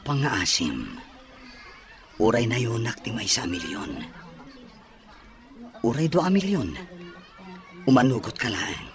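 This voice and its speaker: A man's voice: medium pitch, very animated, slow, pauses often. An actor with a Filipino accent playing a wealthy, persuasive man who presses his offer in an audio drama. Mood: insistent, pleading. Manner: persuasive, insistent. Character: wealthy single man pressing a woman to bear his child. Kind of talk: Ilocano audio drama acting.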